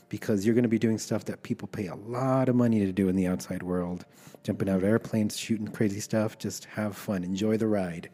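A middle-aged American man speaks in a fairly low voice with a soft, dark tone. He is an interview guest, recounting personal stories in a candid, conversational style. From Los Angeles, United States. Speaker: guest, army veteran.

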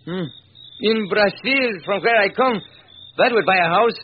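A man's voice: high voice, a little slow. A man playing an effusive, theatrical ranch hand with a Latin American accent in an old-time radio drama. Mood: friendly, wistful. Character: exuberant, lovelorn Latin male ranch hand. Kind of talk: old-time radio comic character acting. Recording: dull, hissy vintage radio recording.

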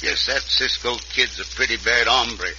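A man playing a gruff, suspicious homesteader with an American accent and curt folksy speech in an old radio western. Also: mid-range voice, moderate pace, muffled recording, natural voice. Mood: wary. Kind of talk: 1950s radio western acting. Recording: noisy, band-limited old radio recording.

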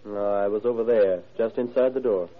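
An actor playing a murdered man's young son, halting, plainspoken and slightly uneasy, in a 1940s radio drama. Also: lowish voice, natural voice. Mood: hesitant. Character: hesitant, cooperative young son of a murdered man. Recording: narrow, muffled old radio recording.

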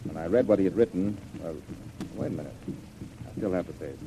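Low-voiced wary army major. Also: male voice, lively intonation, brisk. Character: grave, wary male major on a secret mission. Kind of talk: 1930s radio adventure serial acting. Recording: noisy old radio recording.

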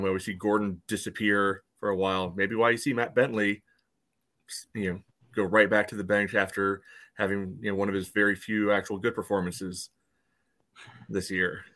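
A man on a sports podcast talking casually and haltingly, often dropping in "you know". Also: fairly low pitch, flat delivery, a bit bright. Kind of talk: casual soccer discussion.

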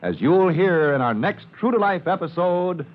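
A man's voice: sits high, big pitch swings, normal speed. A man narrating a scripted serial as an announcer in an old-fashioned radio style. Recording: thin, narrow vintage radio recording.

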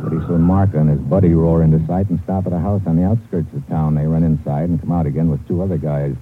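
A tough, cool-headed secret agent tells his adventure in a very deep voice. His delivery is clipped, slangy and hard-boiled. It comes through a thin, noisy old radio recording. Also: steady tone, quick pace, phone-quality sound. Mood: tense narrating.

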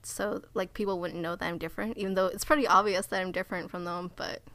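A woman's voice: fairly low pitch, steady tone, fast, vocal fry, bright, forceful tone, no room sound. A young adult woman whose first language is Cantonese, talking casually in a hesitant way with many fillers and trailing-off phrases. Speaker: participant. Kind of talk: casual conversation.

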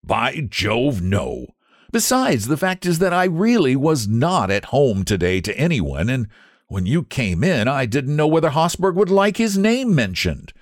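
A man voicing a jovial gentleman who teases his friend in a hearty, amused way in a storybook reading. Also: medium pitch, sing-song, unhurried, dry recording, studio quality, voice pushed up. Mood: teasing. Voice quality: hearty, teasing. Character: jovial painter friend, teasing young man.

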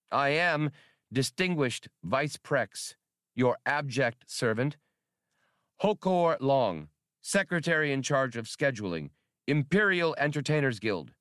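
A man's voice: medium pitch, fairly bright tone, no echo, studio quality, pitched above the performer's own voice. A man voicing a fawning, pompous male guild secretary reading a formal message. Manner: grovelling, ornate.

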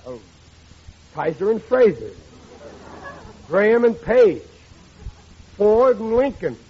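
Blustering radio sitcom dad, high-pitched. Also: male voice, big pitch swings, takes it slowly, husky, exaggerated voice. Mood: insistent. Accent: American. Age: middle-aged. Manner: blustering, malaprop-prone. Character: stubborn, boastful, bumbling working-class middle-aged father. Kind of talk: old-time radio sitcom acting. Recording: muffled, noisy vintage radio recording.

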